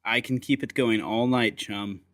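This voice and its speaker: Coolly menacing gentleman agent, acted by a man, dry sound. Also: fairly low pitch, steady tone, dry recording, close to an everyday voice. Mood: cold resolve. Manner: deliberate, courteous menace. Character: ruthless, coolly menacing gentleman secret agent interrogating a prisoner. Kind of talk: dramatised audiobook acting.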